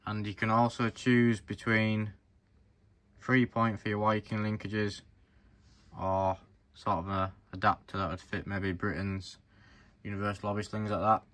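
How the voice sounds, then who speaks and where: A man's voice: fairly low pitch, little pitch movement, measured pace, a bit bright. A man presenting a hands-on review in an informal, explanatory way, talking directly to viewers. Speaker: presenter of a farm model review channel. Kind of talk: casual hands-on review narration.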